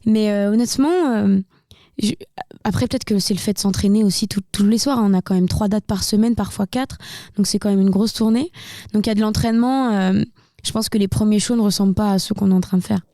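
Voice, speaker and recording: A young woman with a slight Burgundy lilt, talking candidly and at length as an interview guest. Her voice is mellow and dark-toned, recorded close and dry with no room sound. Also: mid-range voice, fairly even intonation, brisk, no room sound. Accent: slight Burgundy French. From Burgundy, France. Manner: candid, talkative, many fillers and restarts. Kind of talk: casual interview chat.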